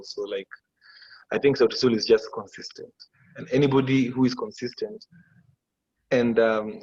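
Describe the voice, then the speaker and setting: A man in his thirties with a Kenyan accent, a musician guest on a video call interview, giving reflective, measured explanations. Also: lowish voice, breathy edge. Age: 30s. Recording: dull lo-fi video call audio.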